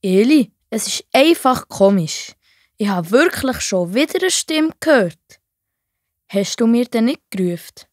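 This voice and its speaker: A woman's voice: a little high, expressive, unhurried, no room sound, studio quality. A woman playing an eager, obedient young boy in a children's Bible audio play. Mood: puzzled.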